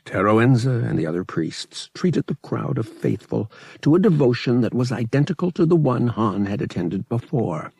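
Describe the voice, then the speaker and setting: A man's voice: mid-range voice, very animated, moderate pace, vocal fry, darkish tone, husky, no echo. A man narrating a novel as an audiobook reader, in a scripted storytelling style.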